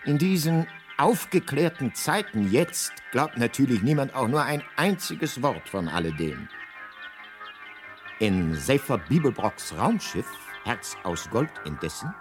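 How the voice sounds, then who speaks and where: A man narrating a science fiction radio play as a guidebook, with measured, mock-epic delivery and deliberate pauses. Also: medium pitch, sing-song, music in the background. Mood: wry grandeur. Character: grandly ironic guidebook narrator.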